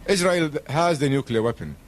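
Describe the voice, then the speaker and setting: A man's voice: fairly high pitch, expressive, moderate pace. A man speaking as a radio guest in a formal political discussion, earnest and emphatic, with diplomatic phrasing.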